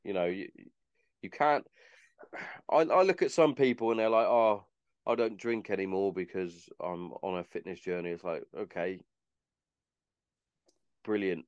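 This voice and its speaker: A man in his mid-thirties talks in a very deep, low register. He is casual and sardonic, dropping swear words into easygoing chat. The sound is close and dry. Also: unhurried, long pauses, smooth, dry recording, muffled recording. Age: 30s.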